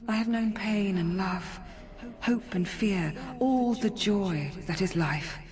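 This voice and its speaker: An actress voicing a weary, gentle female forest spirit in a fantasy game, solemn and pleading. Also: mid-range voice, slow, close to an everyday voice. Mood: anguished pleading. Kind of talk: fantasy video game acting.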